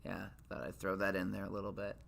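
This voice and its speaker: A man in his late thirties hosting his podcast in a casual solo monologue. His voice sits naturally high, and he rambles with lots of restarts and fillers. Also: monotone, fast, gravelly, fairly bright tone. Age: late 30s. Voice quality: naturally high voice he can drop lower. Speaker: podcast host.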